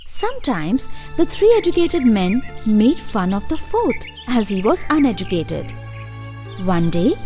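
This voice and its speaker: A woman tells scripted fairy tales for children in a high voice. Her intonation is lively and varied, with soft music behind her. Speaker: storyteller. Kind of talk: scripted children's story narration. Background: faint music.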